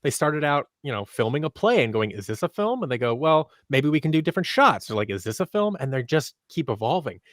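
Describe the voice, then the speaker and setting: A man's voice: fairly high pitch, very animated, fairly quick, few pauses, fairly bright tone, no echo, clean studio sound. A man in his thirties chatting casually as an interview guest, animatedly acting out bits of imagined dialogue. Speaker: guest, audio drama creator. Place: small office, indoors, on a video call. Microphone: close boom-arm microphone, headphones.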